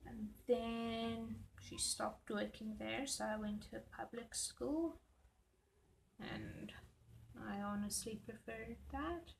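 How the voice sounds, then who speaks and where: Slow chatty woman. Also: fairly high pitch, fairly even intonation, gravelly, rough recording. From South Africa. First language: Afrikaans. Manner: chatty, self-deprecating, muttering while working. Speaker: planner vlogger. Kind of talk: rambling chat while planning. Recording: faint background noise.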